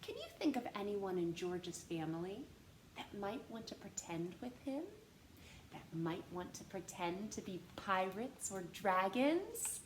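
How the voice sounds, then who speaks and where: A woman reading aloud expressively for young children as a storytime host, animated and addressing them directly with questions. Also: mid-range voice, measured pace. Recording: roomy echo with faint background noise.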